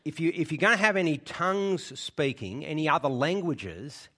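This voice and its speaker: A man's voice: on the high side, lively intonation, moderate pace, pushed and bright. A man preaching a sermon in church, explaining the passage in a conversational way and often checking in with his listeners. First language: English.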